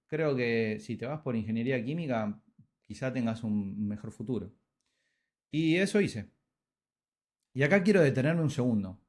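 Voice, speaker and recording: A man in his thirties with an Argentine accent, hosting a podcast and telling his life story in a reflective, scripted way with dramatic pauses. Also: mid-range voice, darkish tone. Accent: Argentine Spanish. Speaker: podcast host. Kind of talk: scripted personal narration.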